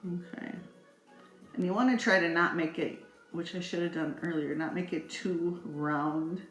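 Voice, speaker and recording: A woman's voice: lowish voice, steady tone, measured pace. A middle-aged woman giving a casual, chatty makeup tutorial, playful and rambling, speaking straight to her viewers. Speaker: makeup vlogger. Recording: echoey room with faint music.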